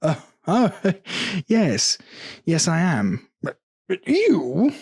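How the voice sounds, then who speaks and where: A man's voice: high voice, wildly swooping pitch, extremely slow, darkish tone, slightly rough, voice pushed up, heavily acted. A man voicing a drunken, boastful and gullible jester nobleman in a gothic horror story, with tipsy, exclamatory interjections. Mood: incredulous.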